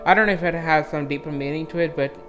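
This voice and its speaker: Excitable man reacting to a game. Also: on the high side, fast, music in the background. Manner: excitable, swearing, rambling with frequent "like". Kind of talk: casual live game reaction commentary.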